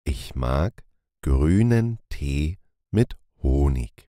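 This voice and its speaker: A man reading short phrases calmly and deliberately for a language lesson, repeating each one. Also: extremely low pitch, no echo, clean studio sound. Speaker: language teacher.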